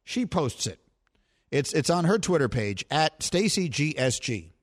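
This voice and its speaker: A middle-aged man hosting a sports talk show, telling stories with animated self-mocking humor. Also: a little high, a little slow. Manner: animated storytelling, laughs at himself.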